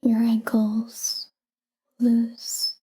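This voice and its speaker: A woman guiding a sleep meditation in a calm, hushed, breathy whisper, soothing and soft. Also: on the high side, very slow.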